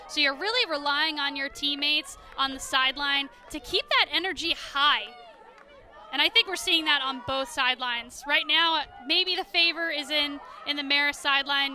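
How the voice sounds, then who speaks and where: A woman's voice: sits high, pushed and bright. An American woman giving conversational, explanatory color analysis during a live sports broadcast. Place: outdoor stadium broadcast position. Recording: crowd and music behind, outdoors. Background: crowd and music.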